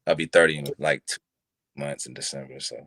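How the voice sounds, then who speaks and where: A man around thirty talking casually on a live-streamed show, animated, using street slang and checking in with listeners often. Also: rumbling low, brisk, dry recording. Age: 30s. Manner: animated, street slang, repeats "you see what I'm saying". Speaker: podcast co-host. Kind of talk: casual chat. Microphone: live stream mic.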